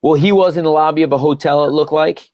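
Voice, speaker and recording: A man's voice: a little high, fairly even intonation, fast, dry recording. Enthusiastic male host, dull dry sound. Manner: enthusiastic, encouraging, talks to his audience. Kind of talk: live online talk show.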